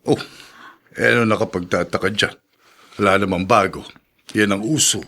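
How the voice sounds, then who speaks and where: A man's voice: fairly low pitch, steady tone, moderate pace, bright, forceful tone. A Filipino man acting in a radio drama as a skeptical, brooding older father. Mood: dismissive.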